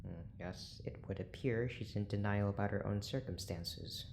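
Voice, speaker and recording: A man's voice: on the low side, moderate pace, gravelly, a little mellow, slight background noise. A man acting as a stern, impatient prison research doctor in an audio drama, speaking in a clipped, formal, exasperated way. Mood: curt, irritated.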